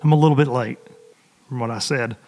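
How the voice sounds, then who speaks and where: A man hosting a casual podcast, hoarse and rambling, chatting loosely to his listeners into a microphone. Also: mid-range voice, fairly even intonation, a little fast, darkish tone. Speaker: podcast host. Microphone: studio microphone.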